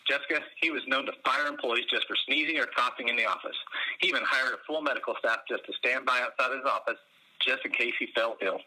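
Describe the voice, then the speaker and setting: An actor playing a stuffy, learned historian from the in a radio drama, condescending and erudite. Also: male voice, medium pitch, fast, sharp tone, low-quality recording. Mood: storytelling. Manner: erudite, patronizing. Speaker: museum curator being interviewed. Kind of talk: radio drama acting as interview guest.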